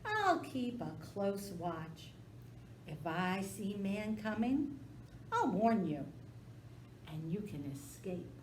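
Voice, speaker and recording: A woman voicing a sly, sassy old male dog who whines and howls in a high, pleading voice. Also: big pitch swings, slow, long pauses, reverberant, slight background noise. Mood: reassuring. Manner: whining, howling, high and pleading.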